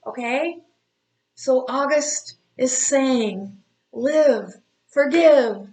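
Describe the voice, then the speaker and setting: A woman's voice: high-pitched, low-quality recording. Blunt motherly woman in her fifties. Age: 50s. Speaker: astrology coach. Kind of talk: direct-to-camera advice talk.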